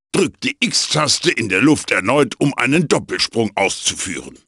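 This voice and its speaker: An actor voicing a wise, bossy old magical wooden mask in a video game, raspy and theatrical, urging and instructing like a mentor. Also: male voice, medium pitch, sharp tone, no room sound, studio quality, heavily acted. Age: older. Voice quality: raspy, gravelly. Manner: theatrical, emphatic, exhorting. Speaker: guide and tutorial mentor. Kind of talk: video game character voice acting.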